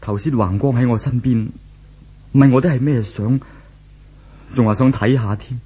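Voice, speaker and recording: An actor playing a brooding, distraught young office worker in a 1970s Cantonese radio drama, with a husky voice and a Hong Kong accent. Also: male voice, mid-range voice, normal speed, warm tone, natural voice. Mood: anguished disbelief. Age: young adult.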